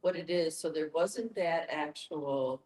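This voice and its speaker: A woman with a notably deep voice talks in a very roomy, echoing space. She speaks informally and personally, as someone taking part in a group discussion. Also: a little slow, a little mellow. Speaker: discussion participant asking a question.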